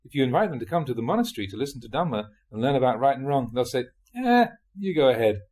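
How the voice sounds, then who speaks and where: A man reading translated Buddhist talks aloud as a monk, in an expressive storytelling delivery. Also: medium pitch, rapid-fire.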